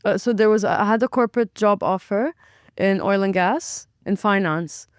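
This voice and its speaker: A young woman from Lebanon who owns a restaurant, talking as a guest in a chatty, animated way and acting out her own past thoughts. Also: medium pitch, moderate pace, smooth, no echo, clean studio sound. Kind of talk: casual interview.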